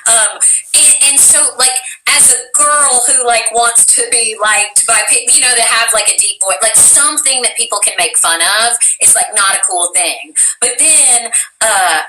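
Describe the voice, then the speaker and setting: A deep-voiced woman voice actress chatting casually, animated and full of fillers. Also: normal speed, a little hiss or hum. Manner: animated, rambling with many fillers. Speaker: voice actress and teacher. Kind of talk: casual interview chat. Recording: roomy echo, distant mic, faint music.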